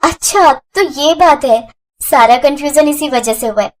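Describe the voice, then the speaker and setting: A woman's voice: high voice, a little slow. A woman voicing a good-natured newlywed daughter-in-law, earnest and accommodating, in a storybook tale. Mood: puzzled.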